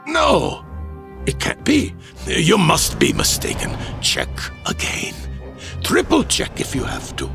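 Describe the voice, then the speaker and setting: An actor playing a pompous, defensive bishop in an audio drama, indignant and theatrical. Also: male voice, on the high side, wildly swooping pitch, slow pace, gravelly, music in the background, full character voice. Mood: aghast. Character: imperious, defensive male bishop, outraged authority. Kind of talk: dramatic audio fiction acting.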